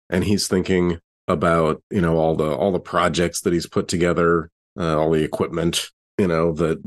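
A man talking casually as a player in a tabletop roleplaying game, improvising what his character does. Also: low voice.

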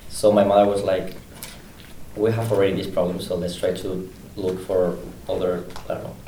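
A man's voice: deep voice, little pitch movement, moderate pace, warm tone. A seventeen-year-old male exchange student from Spain, a native Spanish speaker, chatting in an interview. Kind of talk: casual interview answers. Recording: roomy, distant mic, faint noise.

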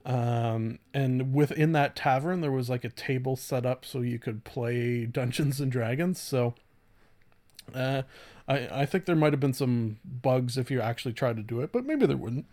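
A man's voice: medium pitch, moderate pace, darkish tone. Man in his thirties, casual rambling podcast host. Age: 30s. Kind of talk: casual solo podcast talk.

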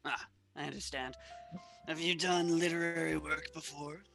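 Man acting a creaky, blunt, awkward professor. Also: fairly high pitch, steady tone, pushed and bright, soft background music. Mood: hesitant. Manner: halting, blunt, formal. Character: awkward, blunt male professor, wary of women. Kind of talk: old-time radio play acting.

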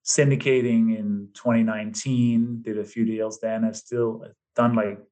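A man in his fifties from Denmark with a slight Danish accent, a podcast guest telling his story in a relaxed way with fillers. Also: fairly low pitch, unhurried. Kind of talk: casual interview chat.

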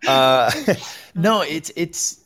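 A man speaking as a panel guest and creator, casual and reflective, candid and halting as he goes. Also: fairly high pitch, expressive, fairly bright tone.

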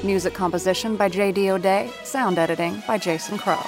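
A woman's voice: medium pitch, a little fast, music in the background. A woman reading scripted production credits as an announcer.

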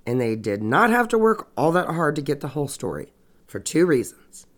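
A woman's voice: low-pitched, sing-song, moderate pace. Stuffy, scratchy-voiced woman podcast host. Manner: wry, sarcastic asides. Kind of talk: casual true crime narration.

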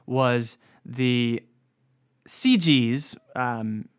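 A man's voice: medium pitch, very slow, clean tone. A young American man hosting a podcast, giving a casual, rambling, self-deprecating solo review in informal language. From United States. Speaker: podcast host.

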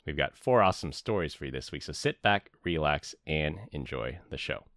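A man hosting a podcast, reading the show intro in a measured, upbeat tone. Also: very deep voice, lively intonation, fairly bright tone, no echo, studio quality. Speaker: podcast host. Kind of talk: casual chat and scripted show intro.

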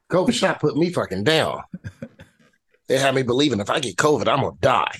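A man co-hosting a podcast, hoarse from the flu, rambling and swearing as he tells stories. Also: mid-range voice, lively intonation, fairly quick, fairly bright tone, no room sound. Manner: rambling storyteller, profane. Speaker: podcast co-host. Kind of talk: casual podcast banter.

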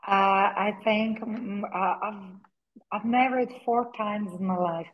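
A middle-aged Brazilian woman with a Portuguese accent, speaking English as a second language, talking as an interview guest and pausing often with "uh" and repeated words. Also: mid-range voice, fairly even intonation, muffled recording. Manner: hesitant, with frequent "uh" pauses and repeated words. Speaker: guest, attorney and novelist. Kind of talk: conversational interview.

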